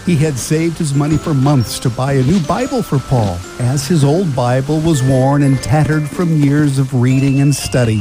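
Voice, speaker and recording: A man's voice: medium pitch, normal speed, hardly any pauses, over music, poor audio. A man narrating a scripted family radio drama in a storybook style.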